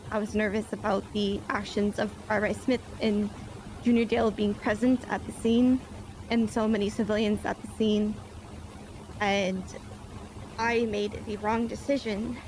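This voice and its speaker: A woman's voice: medium pitch, slight background noise, poor audio. A woman playing a police officer on the witness stand, speaking in stiff, formal report phrasing. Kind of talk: formal courtroom testimony in a game roleplay.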